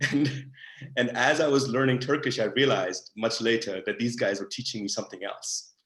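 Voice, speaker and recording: A man's voice: mid-range voice, fairly even intonation, moderate pace, fairly bright tone. A man, a diplomat, answering audience questions in a thoughtful way, with frequent fillers and restarts. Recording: roomy echo.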